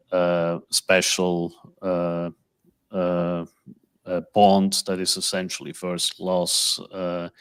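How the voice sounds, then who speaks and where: Male finance commentator, low smooth voice, casual but halting delivery. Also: fairly bright tone. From Switzerland.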